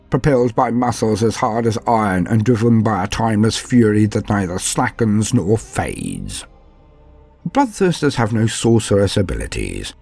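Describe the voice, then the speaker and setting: A man with a gravelly, husky voice hosts a fantasy lore show, narrating in a dramatic, portentous and formal manner. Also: mid-range voice, darkish tone, dry recording. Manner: theatrical, portentous, formal diction. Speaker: host of a fantasy lore channel.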